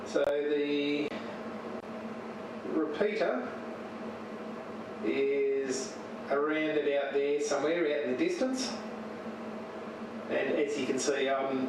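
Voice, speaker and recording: A man giving a casual, unscripted show-and-tell as a radio hobbyist, speaking haltingly and searching for words. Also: medium pitch. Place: home radio shack. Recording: roomy, distant mic with steady noise.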